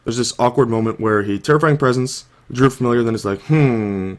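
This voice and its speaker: A man's voice: mid-range voice, brisk. A young man with a sore throat, casually talking through a card game match over the footage, thinking aloud with lots of "like" and "you know". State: sore throat, coming down with a cold. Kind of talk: casual video commentary.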